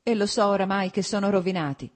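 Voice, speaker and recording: A woman voicing an anguished, furious betrayed wife in an audiobook, with cutting, bitter delivery. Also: close to an everyday voice. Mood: bitter reproach. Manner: shrill outbursts, cutting and hurried.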